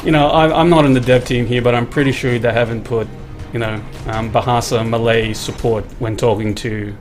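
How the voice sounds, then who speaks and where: A man's voice: medium pitch, steady tone, brisk, soft background music. A man hosting a weekly game live stream, full of "um" and "you know", reading viewer comments and steering the chat. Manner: filler-heavy, reads out viewer comments, steers the talk.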